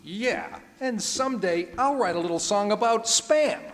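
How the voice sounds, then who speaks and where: A man's voice: high-pitched, lively intonation, a little slow. A man playing a nonchalant bandleader guest in a 1940s radio comedy, casual and offhand with wisecracks. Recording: echoey hall, background noise.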